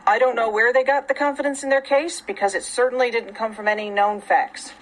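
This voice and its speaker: An actress playing an assertive public defense attorney giving an emphatic, dismissive press statement in an audio drama. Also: female voice, fairly high pitch, fairly even intonation, a little fast, natural voice, rough recording. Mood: assertive, scornful.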